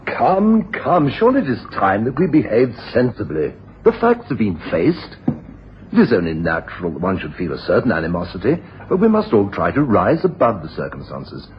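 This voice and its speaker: An actor playing a dignified, sensible gentleman in a radio drama, speaking in a formal, reasoning way. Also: on the low side, huge pitch swings, normal speed. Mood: sensible, resigned. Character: generous, dignified gentleman brother, sensible and resigned. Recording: dull, slightly noisy radio recording.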